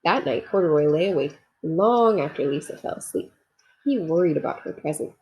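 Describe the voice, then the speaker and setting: A woman's voice: low-pitched, lively intonation, moderate pace, darkish tone, slight background noise, low-quality recording. A woman reading a children's story aloud expressively, talking to young listeners as she goes. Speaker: storybook reader. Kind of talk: children's read-aloud narration with asides.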